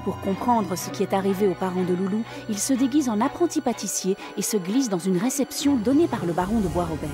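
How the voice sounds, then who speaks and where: A woman narrating a scripted recap for an animated adventure series in French. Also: mid-range voice, a little fast, few pauses, over loud music, natural voice. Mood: storytelling. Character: storytelling female narrator of an adventure cartoon.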